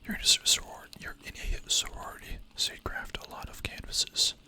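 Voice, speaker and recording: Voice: brisk, bright, forceful tone. A young adult streamer whispering ASMR-style, chatting casually with chat, full of filler words and the odd swear.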